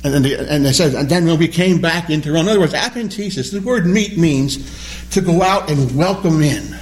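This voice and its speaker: A man teaching a Bible study class, animated and questioning, repeating key words as he explains them. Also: fairly high pitch, fairly quick. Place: classroom or church hall with a small group.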